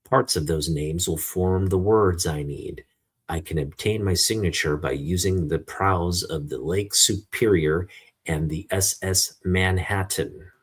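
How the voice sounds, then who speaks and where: A resolute male superhero from a comic book, read out by a man. He explains himself in solemn, deliberate statements. The voice is low and husky.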